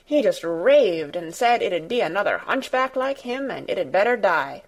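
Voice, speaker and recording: An excitable young maid from a storybook, acted by a woman narrator. She is plain-spoken and superstitious, given to breathless exclamations in broad dialect. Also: medium pitch, normal speed. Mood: confiding.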